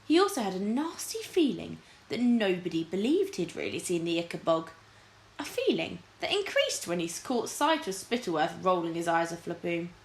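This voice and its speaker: A woman's voice: on the low side, big pitch swings, moderate pace, slight background noise. A woman narrating a children's storybook expressively, like a teacher reading aloud. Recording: echoey room.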